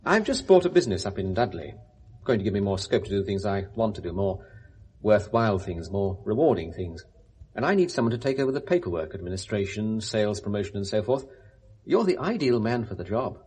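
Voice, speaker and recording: A brisk, urbane British businessman in middle age in a seventies radio play. His slightly husky voice ranges widely in pitch as he makes his case persuasively. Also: big pitch swings, darkish tone. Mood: brisk, genial. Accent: British English. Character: brisk persuasive businessman, male, family man, paternal. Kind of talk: 1970s British radio drama acting.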